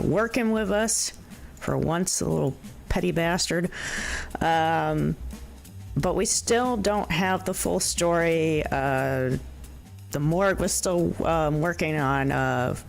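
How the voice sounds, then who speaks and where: A hoarse woman streaming a game, chatting casually with her viewers into a desk microphone. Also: deep voice. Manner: chatty, talks to viewers, frequent ums and laughs. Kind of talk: casual livestream commentary.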